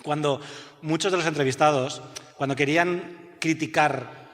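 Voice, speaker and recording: A man's voice: fairly high pitch, normal speed, a bit bright. A man hosting a live podcast on stage, telling anecdotes and laughing at them. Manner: storytelling, laughs at his own anecdotes. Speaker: podcast host. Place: stage before a live audience. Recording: long hall reverb.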